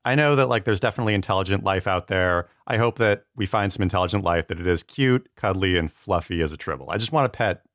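Casual panelist: man, very low voice, loose and halting conversational delivery, dry studio-tight sound. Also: a bit bright, dry recording. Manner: halting, informal, full of "like" and "you know". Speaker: comedy writer.

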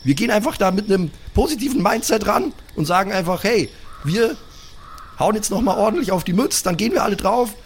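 An eager young warrior, played by a man, pitched well above a normal male voice. He is earnest and upbeat, giving excitable pep talks in an improvised role-play audio drama. There is faint noise in the recording. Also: a little fast. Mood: fervent.

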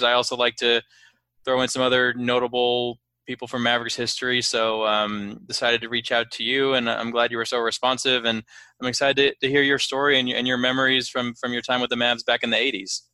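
A man with a bright, crisp-edged voice of medium pitch hosts a casual interview. He phrases things loosely and somewhat hesitantly. The sound is dry, with no room around it. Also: dry recording. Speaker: podcast host.

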